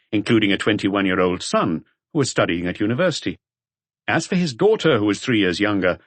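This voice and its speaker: A male narrator reads mystery fiction in a measured, storytelling way. His voice sits fairly low but moves expressively. The recording is dry and close. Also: no room sound. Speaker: audiobook narrator.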